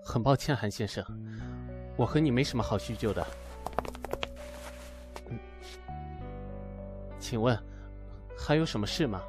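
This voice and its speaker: A man's voice: mid-range voice, lively intonation, a little slow, pauses often, close to an everyday voice. Man acting a guarded, wounded teacher over music. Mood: cold. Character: guarded, wounded young literature teacher rebuffing his ex-lover. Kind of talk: Chinese amateur audio drama acting. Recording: music behind the voice.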